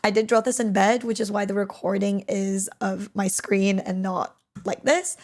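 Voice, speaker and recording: A young woman with a raspy, worn voice after streaming, chatting casually about her drawing as she works, rambling and correcting herself and sometimes dropping to a near whisper. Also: mid-range voice, steady tone, normal speed. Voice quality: raspy, hoarse-sounding today. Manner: rambling, self-correcting, soft and half-whispered at times. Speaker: digital artist. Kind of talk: casual talk-along while drawing. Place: at home, drawing on a tablet. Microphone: voice-over mic, speaker unseen, only hands.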